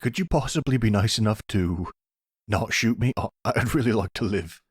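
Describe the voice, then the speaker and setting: Male actor as friendly dim mob lackey, stammering, slangy, slightly husky, dry close-up sound. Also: lowish voice, animated, moderate pace, dry recording. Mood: nervous, pleading. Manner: hesitant, stammering, slangy bro talk. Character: nervous, chatty, friendly dumb mafia henchman. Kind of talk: audio roleplay drama.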